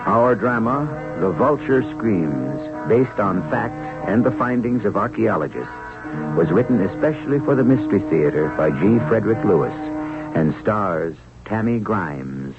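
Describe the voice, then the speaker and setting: A male radio drama host with a low voice and sweeping intonation. He narrates deliberately and theatrically, in a muffled vintage broadcast. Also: lively intonation. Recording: muffled old radio broadcast, music behind.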